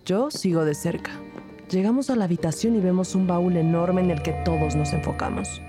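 Woman acting female investigator narrator, lowish voice, wry, matter-of-fact, crime audio drama, music underneath. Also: moderate pace, close to an everyday voice. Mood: wry narration. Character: observant female investigator narrating her case. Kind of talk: first-person scripted crime narration.

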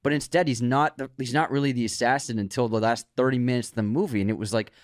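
A man's voice: medium pitch, steady tone, fast talker, dry recording, studio quality. A man co-hosting a podcast about movies in a casual chat, explaining game stories with enthusiasm and agreeing often. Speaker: podcast co-host.

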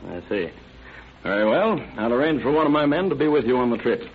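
An actor playing a stern, methodical sheriff in an old radio crime drama, speaking in a measured, formal, authoritative way. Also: male voice, mid-range voice, lively intonation, natural voice. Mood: courteous, businesslike. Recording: tinny, noisy old radio recording.

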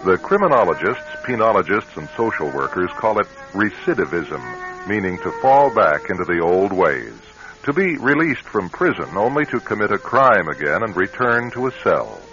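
An American man narrating a radio drama in a measured, grave, dramatic style. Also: fairly low pitch, big pitch swings. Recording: muffled vintage radio drama recording. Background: dramatic music.

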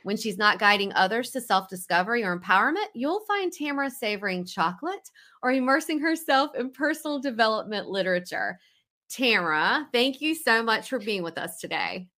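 A woman's voice: on the high side, very animated, fairly quick, pushed and bright. A woman hosting a podcast, chatting casually and in a friendly way with her guest, often saying okay. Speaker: podcast host. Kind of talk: casual interview chat.

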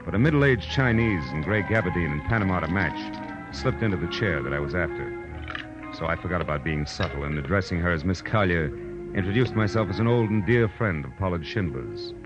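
An American actor playing a hard-boiled, wisecracking private eye with wry, clipped delivery in a 1940s radio drama. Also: male voice, low-pitched, expressive, fairly quick. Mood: watchful. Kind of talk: 1940s radio detective acting, first-person narration. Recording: muffled old radio recording, music behind.

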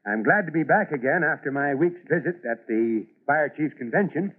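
Avuncular American fire chief, highish voice. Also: male voice, expressive, moderate pace. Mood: earnest, fatherly. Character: avuncular, earnest veteran male fire chief. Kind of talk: scripted safety address to children, old-time radio. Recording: phone-like vintage radio recording.

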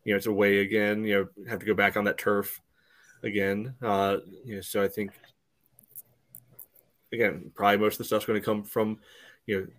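A man co-hosting a sports podcast, giving casual analysis in halting phrases padded with verbal tics. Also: lowish voice. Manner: hesitant phrasing, peppered with "you know". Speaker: podcast co-host.

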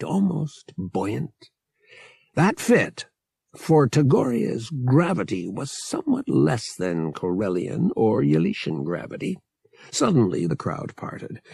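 Slow, gravelly male audiobook narrator. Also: fairly high pitch, no echo, studio quality. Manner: measured, expressive storytelling. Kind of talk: scripted narration of a science fiction novel.

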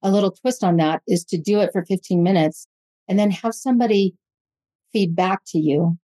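A middle-aged woman, a parenting coach, explaining things step by step in a measured, casual way. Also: medium pitch, mellow. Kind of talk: casual conversation.

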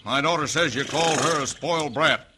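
A man's voice: a little high, brisk, natural voice. An actor playing a stern, stubborn middle-aged rancher and father in an old radio western, with a Western drawl. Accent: American Western drawl. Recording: noisy old radio show recording.

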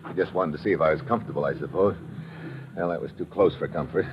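A man's voice: rumbling low, fast, noisy background. A man playing a tough, weary American adventurer in an old radio drama, clipped and slangy as he narrates and gives orders. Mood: weary, wary. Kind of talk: old-time radio adventure acting, hard-boiled narration. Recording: dull vintage radio recording, music behind.

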